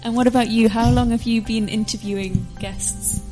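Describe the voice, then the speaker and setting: A woman's voice: on the high side, fairly even intonation, normal speed, over music, rough recording. A woman from England, a guest volunteer, chatting in a friendly way while answering interview questions.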